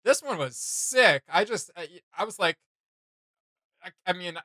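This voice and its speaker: A man with a bright, forward voice pitched a little high gives casual reaction commentary. He rambles in slangy, enthusiastic fan talk, and the sound is close and dry. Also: animated, measured pace, no echo. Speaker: reaction video host.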